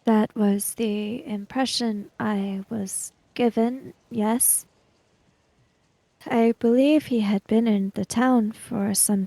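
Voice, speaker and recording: A woman playing a tabletop roleplaying game, speaking improvised dialogue in a measured, deliberate way with halting phrases. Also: mid-range voice, dark tone, no echo.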